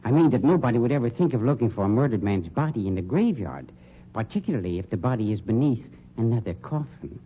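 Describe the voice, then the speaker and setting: An actor in an old-time radio crime drama playing a suave, sardonic funeral director with polished, condescending delivery. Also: male voice, medium pitch, sing-song, lightly acted. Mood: cool scheming. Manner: polished, sarcastic, condescending, deliberate diction. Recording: dull, noisy old radio recording.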